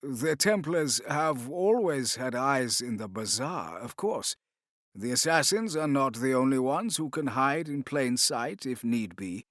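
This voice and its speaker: A man's voice: medium pitch, lively intonation, measured pace, a bit bright, no echo, studio quality. A man voicing a sinister, calculating foreign conspirator with a thick accent in an audiobook. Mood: smug. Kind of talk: audiobook villain dialogue.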